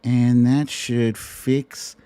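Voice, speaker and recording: A man's voice: medium pitch, level tone, drawn-out words, warm tone, clean tone, no room sound. A middle-aged man hosting a livestream, chatting casually and directly to his viewers in a rambling, conversational way. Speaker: host of a livestream show. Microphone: streaming microphone at a laptop setup.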